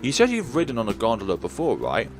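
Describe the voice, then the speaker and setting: A man's voice: mid-range voice, wildly swooping pitch, fast talker, over music. A man reading the lines of a young male gondolier guiding a tour, in a courteous manner.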